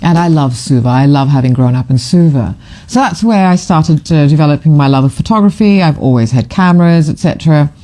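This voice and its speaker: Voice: mid-range voice, lively intonation, brisk, warm tone. A middle-aged photographer from Fiji talks casually to camera while presenting a book. The talk rambles and digresses, with many fillers and restarts, and it gushes with enthusiasm. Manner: gushing, enthusiastic. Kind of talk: casual live commentary to camera.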